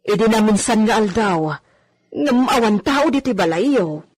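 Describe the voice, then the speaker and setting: A woman's voice: medium pitch, lively intonation, unhurried, darkish tone, natural voice. A woman with an Ilocano accent playing a chatty, deferential village visitor in a radio drama.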